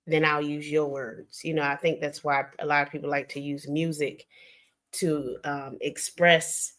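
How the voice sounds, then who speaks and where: An older woman hosting a casual late-night livestream, talking in a slow, reflective, rambling way with many pauses. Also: deep voice, flat delivery, a bit bright. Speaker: livestream host.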